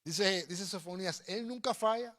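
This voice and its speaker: High-pitched man preaching. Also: normal speed, fairly bright tone. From Cuba. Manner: emphatic, exhorting, with repeated phrases. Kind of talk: sermon with personal storytelling.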